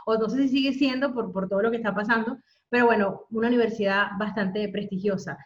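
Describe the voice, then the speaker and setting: A woman's voice: a little high, fairly even intonation, fast talker. A woman hosting an interview, asking friendly, enthusiastic questions. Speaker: host. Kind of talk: conversational interview. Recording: dull lo-fi video call audio.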